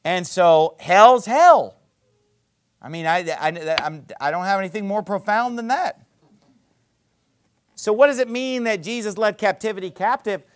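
Male pastor, high-pitched, emphatic sermon delivery in church, sarcastic asides. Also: measured pace, long pauses. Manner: emphatic preaching, swinging between loud peaks and low drops.

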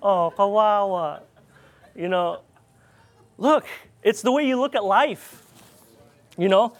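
Man, Filipino accent, high voice, rambling informal preaching, lots of fillers. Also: takes it slowly. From Philippines. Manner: rambling, animated, many fillers and restarts. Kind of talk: informal sermon storytelling.

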